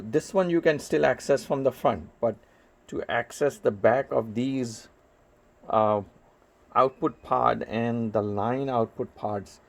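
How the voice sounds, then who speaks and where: A man giving step-by-step hands-on repair instructions in a careful, halting way, pausing between short phrases. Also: lowish voice, darkish tone. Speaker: repair tutorial host.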